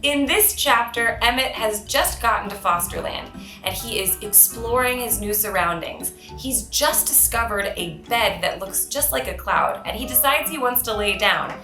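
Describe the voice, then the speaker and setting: A woman reading a children's storybook aloud in an expressive storyteller's manner. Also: on the high side, moderate pace, few pauses. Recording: roomy echo, music behind.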